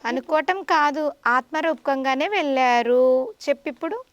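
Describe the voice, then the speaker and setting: Puppet show teacher: high-pitched woman, patient and instructive, explaining to a child, recorded dry. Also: lively intonation, moderate pace, no echo, muffled recording.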